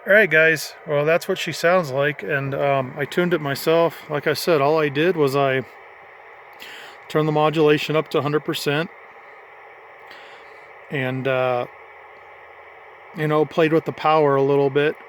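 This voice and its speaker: Higher-voiced man, folksy and casual, noisy recording. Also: fairly even intonation, a little slow, pauses often, a bit bright. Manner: folksy, informal, thinking aloud. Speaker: hobbyist vlogger demonstrating a CB radio. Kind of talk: casual hands-on demonstration. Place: in or beside a parked vehicle. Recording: clearly noisy handheld amateur recording.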